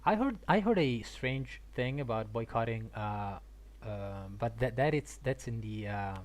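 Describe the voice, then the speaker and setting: A man live streaming a game and chatting casually, halting and often repeating his words. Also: mid-range voice, expressive. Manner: halting, repetitive. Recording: faint background noise.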